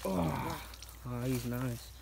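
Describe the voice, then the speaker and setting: A young man chatting casually while fishing by a lake outdoors, relaxed and laughing often, filming on a phone camera. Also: medium pitch, slow, creaky. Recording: loud outdoor noise.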